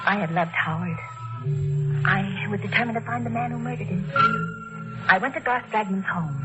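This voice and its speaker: An actress playing a fearful, anguished widow in 1940s radio mystery style, tense and breathless, with dramatic pauses. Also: female voice, mid-range voice, lightly acted. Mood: haunted narration. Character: anguished, fearful widow hunting her husband's murderer. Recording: muffled old radio recording, loud music.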